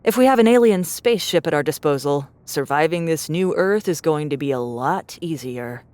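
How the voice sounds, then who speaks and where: Audiobook role: self-assured young female survivor, pragmatic, low voice, dry close sound. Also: animated, normal speed, darkish tone, dry recording. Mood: confident, practical. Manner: matter-of-fact, confident. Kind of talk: audiobook drama acting.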